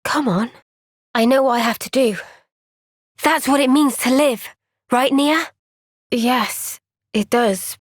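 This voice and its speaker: Earnest young warrior heroine, unhurried and high. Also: female voice, no room sound, clean studio sound. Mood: conversational, then earnest, tender. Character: young woman warrior, earnest, thoughtful, caring game heroine. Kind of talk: video game cutscene voice acting.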